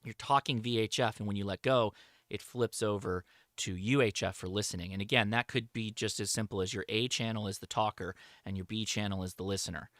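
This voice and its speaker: A man hosts an informal lesson with a bright, forceful voice. He explains things casually and leans on the important points. The sound is dry, with very little room to it. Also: on the low side, steady tone, a little fast, no room sound. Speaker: presenter of an amateur radio show. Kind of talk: casual live slideshow lesson.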